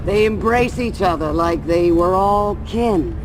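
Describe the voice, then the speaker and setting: A man's voice: sits high, a little slow. A man playing a scheming, menacing warlord master in a video game, speaking theatrically. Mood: cold menace. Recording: heavy background noise.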